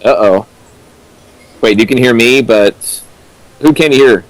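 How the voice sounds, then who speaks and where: A man's voice: mid-range voice, slow pace, dry recording, rough recording. Man reading fan fiction on a stream. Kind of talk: dramatic reading with mocking commentary.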